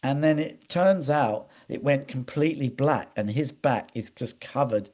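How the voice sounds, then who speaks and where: An interview guest, a man with a mellow, relaxed voice at an average pitch. He talks conversationally in rambling anecdotes, often repeating words and doubling back as he goes. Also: measured pace. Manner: hesitant, repetitive, digressive.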